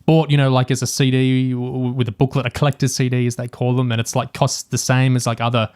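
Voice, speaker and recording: Man, podcast co-host, medium pitch, casual discussion, chatty, analytical, enthusiastic. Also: flat delivery, fast talker, darkish tone, smooth. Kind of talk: casual discussion of audio drama and TV.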